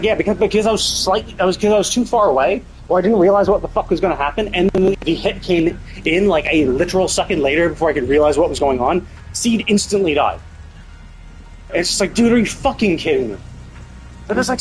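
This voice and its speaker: A man's voice: high voice, slight background noise, low-quality recording. A man giving casual, blunt commentary as a live game streamer, talking in a sweary, venting way.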